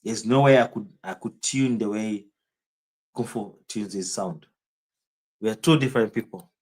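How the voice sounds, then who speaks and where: A man's voice: on the low side, moderate pace, a little mellow, breathy edge. A man with a Kenyan accent streaming live, rambling in casual commentary and answering viewers' chat comments by name.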